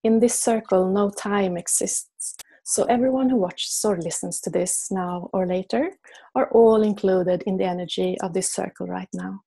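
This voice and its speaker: A woman with a Swedish accent hosting an interview, welcoming and gentle. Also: medium pitch, normal speed, gravelly, slightly rough. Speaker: host.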